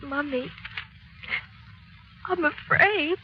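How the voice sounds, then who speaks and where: A woman's voice: sits high, extremely slow. A woman playing a frightened twelve-year-old girl with a plaintive, hesitant manner in a 1930s radio drama. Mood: anxious, discouraged. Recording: noisy, muffled old radio broadcast.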